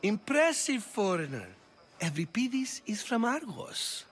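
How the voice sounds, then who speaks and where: An actor playing a vain, disdainful intellectual in a video game, speaking in a pompous, arch manner. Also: male voice, high-pitched, very animated, measured pace, slightly rough, heavily acted. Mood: condescending. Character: vain, witty, disdainful male Athenian intellectual. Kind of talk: video game cutscene acting.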